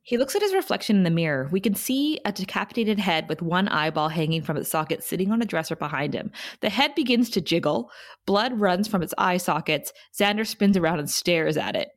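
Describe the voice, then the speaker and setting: A woman's voice: on the low side, expressive, a little fast. A woman reading stage directions as the narrator of a podcast table read, in scripted narration.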